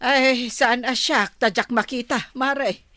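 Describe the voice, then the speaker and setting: A woman's voice: a little high, steady tone, takes it slowly, sharp tone, no room sound. A woman playing a teasing, wheedling village character in a radio drama. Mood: curious.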